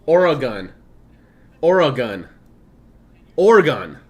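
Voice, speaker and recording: A man's voice: on the high side, big pitch swings, slow pace, darkish tone, husky. A man in his thirties streaming a game, chatting casually with a mix of Midwestern, Southern and Californian accent, laughing often and reading on-screen text aloud. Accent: blended Midwestern, Southern and Californian. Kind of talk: casual gameplay stream commentary.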